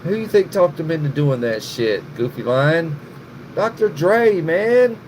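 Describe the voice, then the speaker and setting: A man's voice: on the high side, animated, a little slow, a little mellow, rough recording. A middle-aged man hosting a casual livestream, rambling and cursing freely as he answers viewers in the chat by name. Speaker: livestream host. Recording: noticeable background noise, air conditioning hum.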